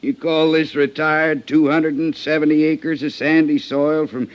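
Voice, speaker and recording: An actor playing a teasing, hearty retired private investigator with folksy banter, in 1950s radio drama style. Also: male voice, a little high, level tone, measured pace, lightly acted. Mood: teasing, jovial. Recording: dull old radio recording.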